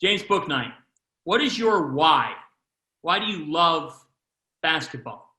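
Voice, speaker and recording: A man interviewing a college athlete, asking long, lively questions and speeding up and slowing down as he talks. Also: a little high, echoey room. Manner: lively, shifts pace within questions.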